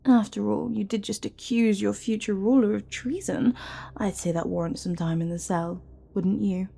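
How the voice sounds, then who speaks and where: A woman playing a scheming, smug evil royal advisor with magic in a fantasy audio drama, breathy and often near a whisper, taunting with mock courtesy. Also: medium pitch, steady tone, warm tone. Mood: condescending, threatening. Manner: theatrical, mock-courteous, taunting, drawn-out phrasing.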